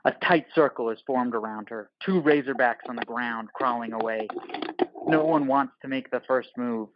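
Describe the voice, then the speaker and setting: A man's voice: medium pitch, moderate pace, highs cut off, very noisy. A man narrating an audio play from a script in terse, dramatic, clipped phrases.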